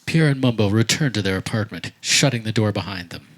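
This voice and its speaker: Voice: mid-range voice, expressive, brisk. A narrator reading scripted stage action for a comedic radio play.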